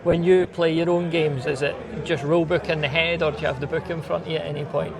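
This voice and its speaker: A man hosts a podcast in an easy, conversational style. His voice sits a little high for a man and has noticeable vocal fry at the edges. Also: level tone, fast, a crowd in the background. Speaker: podcast host and interviewer. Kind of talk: casual chat.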